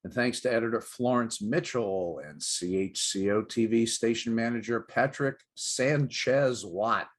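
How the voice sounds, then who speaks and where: A man's voice: medium pitch, measured pace, hardly any pauses. A middle-aged man co-hosting a sports show with light-hearted banter and dry, self-deprecating jokes.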